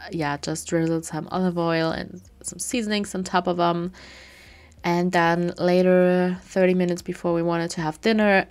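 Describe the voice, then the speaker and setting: A woman in her twenties narrating a home cleaning vlog in a relaxed, chatty voice-over, full of fillers. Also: lowish voice, vocal fry, clear, resonant tone. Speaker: homemaking vlogger.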